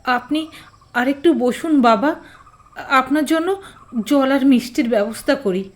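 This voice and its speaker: A woman playing an emotional, fiercely protective young mother in a horror audio drama, shrill and urgent. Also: unhurried. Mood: respectful, pleading.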